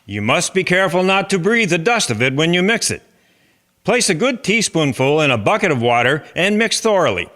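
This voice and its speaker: A man plays an earnest chairman addressing farmers in a historical drama. His voice is forceful and sharp, pitched a little high. He lays things out formally, like someone running a meeting. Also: sing-song, a little fast, heavily acted. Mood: cautioning, urging. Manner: formal, instructive, speechmaking. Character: earnest male chairman of a farmers' agricultural society.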